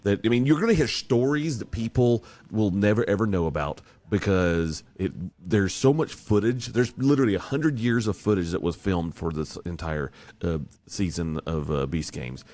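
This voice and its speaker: Male podcast host, very low voice, dry close sound, loose rambling delivery. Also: animated, normal speed, a little mellow, dry recording. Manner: rambling, restarts, upbeat hype. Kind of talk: casual video-call podcast chat.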